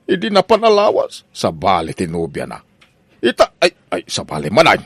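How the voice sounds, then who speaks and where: A man's voice: deep voice, huge pitch swings, normal speed, a bit bright, slightly rough, no echo, full character voice. A man with an Ilocano Filipino accent playing an exasperated, nosy father in a comedy radio drama, full of stammered exclamations.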